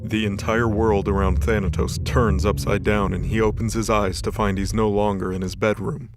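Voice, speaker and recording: A man's voice: fairly low pitch, little pitch movement, normal speed, noisy background. A man narrating a scripted dramatic story as the storyteller of an audio drama. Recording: voice over background music.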